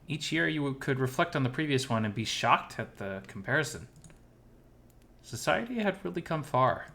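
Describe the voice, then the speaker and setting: A man narrates a visual novel from a script. His voice sits at an average pitch for a man, and he reads as a dramatic storyteller. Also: sing-song, normal speed, gravelly, sharp tone.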